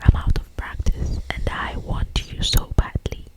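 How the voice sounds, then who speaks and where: A woman voicing a yearning, anguished old male vampire lover in a breathy, hesitant whisper for an ASMR story. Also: unhurried, lightly acted. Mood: anguished longing.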